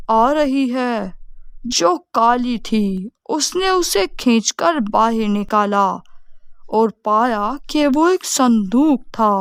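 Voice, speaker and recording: Female storyteller for children's tales: unhurried, deliberate, higher register, dry studio-like sound. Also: smooth, no echo. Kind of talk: scripted bedtime story narration.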